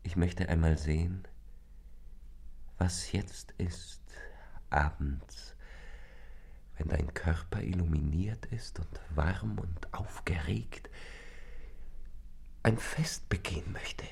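Rapturous lover in an old style radio play, deep creaky voice, slow poetic fervent delivery, kinda breathy. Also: male voice, a little mellow, exaggerated voice. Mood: tender wonder. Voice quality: low, gravelly, often breathy voice. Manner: poetic, fervent, deliberate declamation. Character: rapturous male lover, a stranger in a rented room. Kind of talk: literary German radio play acting.

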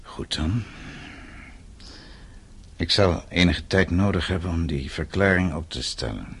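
An actor in a radio play, playing a principled, wounded elderly father who speaks gravely and haltingly. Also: low voice, a bit bright, full character voice. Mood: resigned. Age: older. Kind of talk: radio drama acting. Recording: radio play with faint background noise.

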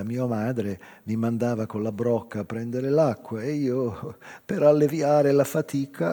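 An older man acting a theatre monologue as a reflective island man recalling his past in a measured way. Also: medium pitch, warm tone, lightly acted. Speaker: stage actor. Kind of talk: literary theatre monologue, reminiscing storytelling. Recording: long reverb of a hall.

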